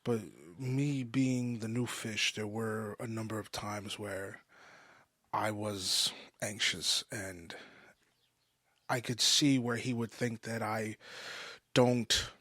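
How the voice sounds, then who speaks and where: A man in his mid twenties giving a personal podcast monologue, halting and often restating himself for emphasis. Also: lowish voice, steady tone, pushed and bright, no room sound, studio quality. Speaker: podcast host. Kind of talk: personal monologue, confessional storytelling.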